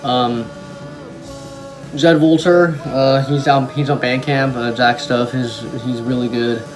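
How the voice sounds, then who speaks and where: A man's voice: mid-range voice, steady tone, slow pace, rough recording. Young man musician, music playing behind. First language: German. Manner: rambling, many ums and restarts, enthusiastic. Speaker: musician talking about his song. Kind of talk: casual talk to camera.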